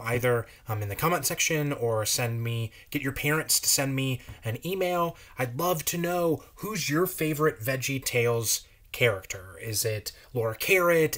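A man's voice: medium pitch, lively intonation, measured pace, few pauses, fairly bright tone. A man in his thirties casually addressing children as a read-aloud presenter, in a small room.

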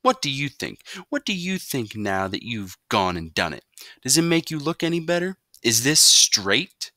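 Guy putting on a scolding mama, high and bright, really exasperated, dry close sound. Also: big pitch swings, normal speed, no room sound. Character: stern exasperated mother scolding her son.

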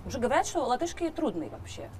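A woman's voice: high voice, sing-song, fast talker, darkish tone, slight background noise. A woman in her thirties with a Latvian accent, asking questions in a casual interview. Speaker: interviewer, host. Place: outdoor garden terrace table.

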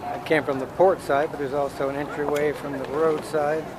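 A man's voice: fairly high pitch, a little fast, music in the background. A man casually narrating a walking tour of an outdoor waterfront plaza, filming on a handheld camera. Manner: relaxed, offhand commentary while walking. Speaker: travel vlogger. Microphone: handheld camera mic. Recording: noisy outdoor camera audio.

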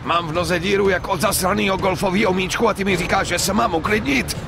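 A wounded gangster in a crime game drama, his voice a little high. He is gruff, blunt and hot-tempered, with music playing behind. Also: steady tone. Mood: impatient, furious. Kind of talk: dubbed video game drama.

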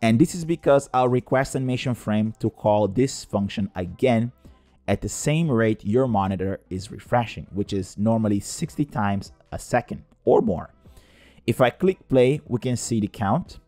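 Low-voiced man narrating a coding tutorial. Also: lively intonation, moderate pace, mellow, no room sound, faint music behind. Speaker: tutorial presenter.

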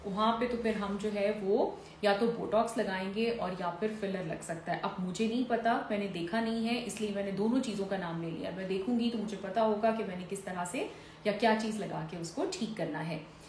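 A woman's voice: medium pitch, normal speed, a little mellow. A woman doctor giving skincare advice in an echoey room. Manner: conversational and advisory, with frequent "uh" fillers. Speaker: dermatologist answering viewer questions. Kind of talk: live-stream Q&A, reading comments aloud. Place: indoor room, seated in a fur-draped chair. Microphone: phone or camera on a stand, mid framing. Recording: echoey room, faint background hiss.

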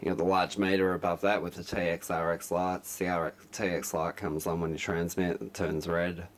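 A man casually walking through the controls of a CB radio at home, talking in a relaxed, chatty way. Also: low voice, normal speed. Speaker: radio seller demonstrating a CB set. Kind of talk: casual product demonstration. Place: indoors, room at home.

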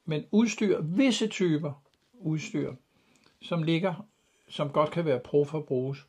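A man's voice: fairly high pitch, darkish tone, slightly rough. A man, chatting casually as he looks back over pictures. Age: 60s. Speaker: video podcast host and camping journalist. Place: small home office with bookshelves, indoors. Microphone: clip-on lavalier.

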